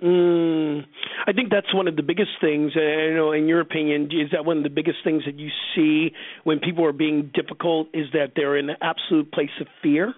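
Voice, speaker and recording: Higher-voiced male host, phone-like sound. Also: little pitch movement, brisk, no echo. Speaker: interview host. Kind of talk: casual conversational interviewing. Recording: narrow phone-like band, dry.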